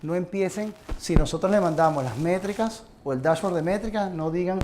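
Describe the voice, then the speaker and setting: Slightly high-voiced man advising a live audience. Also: a little fast. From Venezuela. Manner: earnest, advising, addresses the audience directly. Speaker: conference presenter. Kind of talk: live seminar talk with audience questions. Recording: noisy room recording.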